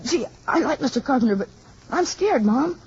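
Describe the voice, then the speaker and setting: A man's voice: very high-pitched, expressive, moderate pace, slightly rough, dry recording, full character voice. An actor playing an eager, earnest young boy in an old-time radio drama. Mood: excited, insistent. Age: child. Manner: eager, breathless, slangy. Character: excitable earnest young boy with big imagination. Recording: muffled vintage radio broadcast.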